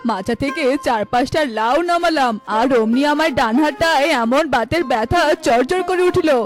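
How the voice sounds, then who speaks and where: A crafty cartoon neighbour lady, acted by a woman, pitched high. She wheedles with a self-satisfied, scheming air over faint music. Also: moderate pace, natural voice. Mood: wheedling. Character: sly, scheming female neighbour in animal cartoon. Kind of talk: cartoon voice acting.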